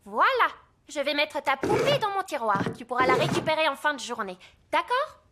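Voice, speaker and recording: Cartoon schoolteacher, kindly but firm, extremely high pitch, swooping intonation, music behind. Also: female voice, big pitch swings, moderate pace, background noise. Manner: sing-song, patient, easily startled. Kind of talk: French cartoon dubbing.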